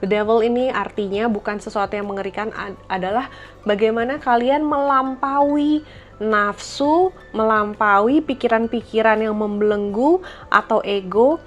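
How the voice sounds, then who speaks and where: Gentle woman reading tarot cards. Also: medium pitch. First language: Indonesian. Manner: gentle, reflective, with frequent fillers. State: slightly blocked nose from a cold. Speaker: tarot reader. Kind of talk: casual tarot reading to viewers.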